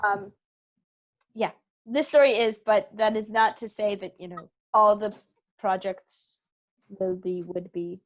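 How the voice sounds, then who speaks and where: A woman's voice: mid-range voice, fairly even intonation, slow pace, pauses often, low-quality recording. A woman actor being interviewed, speaking conversationally and thoughtfully, repeating phrases and checking in with "right?". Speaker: interviewed actor from a theater company. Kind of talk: earnest conversational interview answers.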